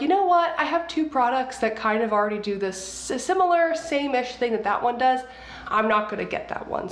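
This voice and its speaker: Young woman, stuffed-up nose, chatty and gushing, high-ish voice, roomy echo. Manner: chatty, gushing, many fillers. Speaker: beauty vlogger. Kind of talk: casual makeup review. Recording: echoey room.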